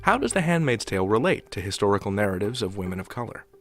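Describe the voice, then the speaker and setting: A man's voice: fairly low pitch, sing-song, quick pace, fairly bright tone, faint music behind. A man hosting a podcast with scripted narration, wry and speaking directly to the listener. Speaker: podcast host.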